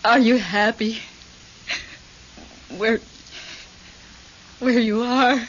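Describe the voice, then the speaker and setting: An actress in an old radio drama playing a grief-stricken woman, plaintive and halting in her longing. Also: fairly high pitch, steady tone. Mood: tearful, yearning. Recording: muffled old radio broadcast, faint noise.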